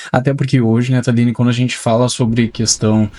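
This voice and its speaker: A man hosting a radio podcast, leading segments briskly and affirming his guest. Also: mid-range voice, little pitch movement, mellow. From not from the local region. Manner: friendly, affirming, brisk segues. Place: radio studio.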